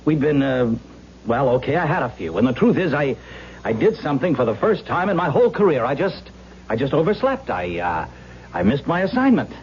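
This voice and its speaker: A slightly noisy, muffled vintage radio recording of a defensive, rattled suspect. His voice is fairly high and very animated, halting at times and then emphatic and persuasive. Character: defensive, rattled male actor suspected of murder. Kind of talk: 1970s radio drama acting.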